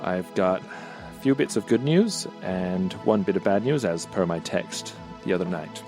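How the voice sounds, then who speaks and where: A man's voice: low voice, animated, unhurried, soft background music. A man playing a tabletop roleplay game, improvising in character and describing what his character does.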